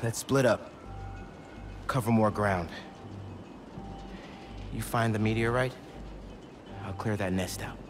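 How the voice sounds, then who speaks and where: An actor playing an earnest, loyal young American superhero in a video game, speaking informally and with urgency. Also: male voice, fairly low pitch, slow, long pauses, music in the background. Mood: decisive. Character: earnest, loyal young male superhero rallying his mentor. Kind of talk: video game cutscene acting.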